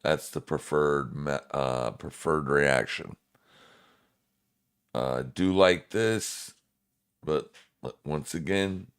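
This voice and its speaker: A man's voice: a bass voice, clear, resonant tone. A man with a smoker's voice giving casual, laid-back live market commentary to viewers as a streaming trading host.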